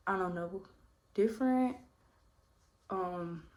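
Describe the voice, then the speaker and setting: A woman's voice: mid-range voice, takes it slowly. A woman around twenty, a little congested, chatting casually and rambling while doing her makeup for a vlog Q&A. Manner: rambling, relaxed, heavy use of "like" and "you know what I mean". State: slightly congested, coming down with a cold. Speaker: vlogger. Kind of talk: casual get-ready-with-me Q&A chat.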